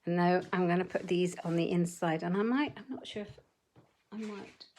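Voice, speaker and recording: A woman demonstrating card-making in a chatty, step-by-step way, her voice a little croaky. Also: fairly low pitch, a little mellow. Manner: chatty, measured step-by-step instructions. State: slightly croaky voice. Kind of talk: live crafting tutorial.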